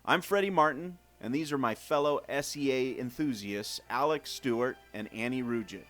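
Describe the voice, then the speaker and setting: An actor playing a bold, excitable adventure fan, protective of friends, with dramatic delivery in an audio drama. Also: male voice, mid-range voice, moderate pace, faint music behind, natural voice. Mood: impressed, apologetic.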